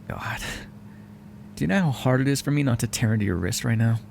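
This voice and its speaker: A man's voice: medium pitch, creaky, a little mellow, a little hiss or hum. A man voicing a sarcastic, foul-mouthed vampire who is grudgingly helpful and hungry, speaking intimately to the listener in an audio roleplay. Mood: hungry, restrained. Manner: sarcastic, wry, exasperated, conversational. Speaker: roleplay voice actor.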